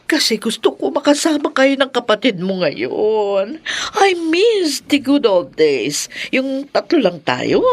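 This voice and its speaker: A woman's voice: a little high, big pitch swings, takes it slowly, heavily acted. A Filipino woman acting as a clingy, guilt-tripping aging mother in a radio drama, wheedling and reproachful. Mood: wistful.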